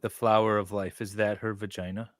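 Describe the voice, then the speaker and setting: A man's voice: fairly low pitch, level tone, brisk, no echo. A man in casual chat as host, reading a web page aloud and adding sarcastic, mocking commentary.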